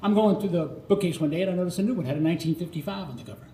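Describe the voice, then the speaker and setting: An older American man performing a nostalgic autobiographical monologue live, theatrical, with dramatic pauses. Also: high voice, animated, mellow. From Florida, United States. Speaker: storyteller. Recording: roomy live recording with faint noise.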